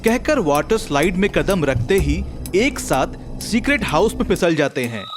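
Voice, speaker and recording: A man narrating a scripted comic children's story in an animated storytelling manner. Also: high voice, measured pace, music in the background.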